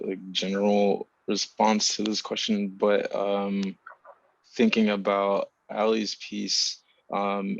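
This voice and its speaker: A man who is a writer giving a reflective, informal panel answer in a halting, searching, thoughtful way. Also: fairly low pitch, gravelly, dry recording, dull audio.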